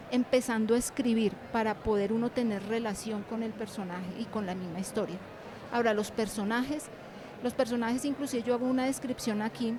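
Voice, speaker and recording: A woman teacher being interviewed, talking reflectively about her craft and repeating words and phrases for emphasis. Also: on the high side.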